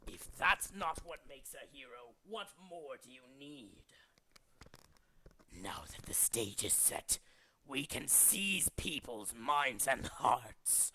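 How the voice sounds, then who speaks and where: A man voicing a megalomaniac villainous cult leader from a video game, scheming, pompous and contemptuous, moving between hushed menace and ranting. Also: medium pitch, big pitch swings, takes it slowly, exaggerated voice, rough recording. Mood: hushed, grandiose. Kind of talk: theatrical video game villain monologue, demo reel.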